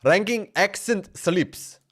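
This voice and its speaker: A man's voice: sits high, slow pace. A man streaming a game, chatting loosely and laughing, often saying "bro" and "man.". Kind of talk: casual livestream chat while playing.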